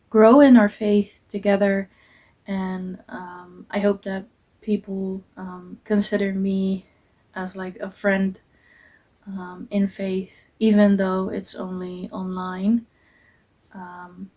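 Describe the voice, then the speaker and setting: Young dutch woman, talks slow and soft, lots of ums, earnest book vlog vibe. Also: medium pitch. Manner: soft-spoken, hesitant with many ums, earnest. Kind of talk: casual book review vlog.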